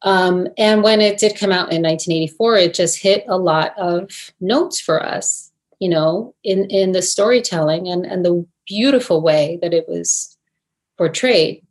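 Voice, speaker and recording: A woman's voice: fairly low pitch, unhurried. A middle-aged woman from New Jersey, an actress answering interview questions in a thoughtful, hesitant way with many fillers and restarts. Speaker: actress, guest.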